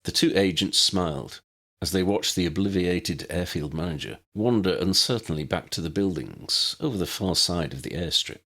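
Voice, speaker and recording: A man's voice: deep voice, lively intonation, normal speed, a bit bright. A man reading scripted fiction as an audiobook narrator.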